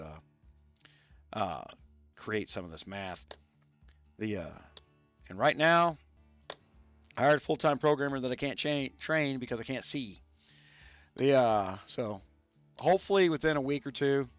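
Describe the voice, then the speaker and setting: Gravelly low-voiced man, coughing. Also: fairly bright tone, highs cut off. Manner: rambling, many restarts and fillers. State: irritated throat, coughing. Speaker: gaming channel host. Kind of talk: casual podcast chat. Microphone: studio mics set up to spare his voice.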